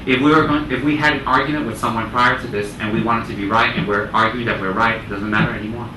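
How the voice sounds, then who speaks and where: A man's voice: medium pitch, fairly even intonation. Male Buddhist teacher in a noisy, echoey room. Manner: deliberate, repeating phrases for emphasis. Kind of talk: religious teaching talk to a group. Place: indoor room with listeners. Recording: roomy, distant mic, clear air-conditioning noise.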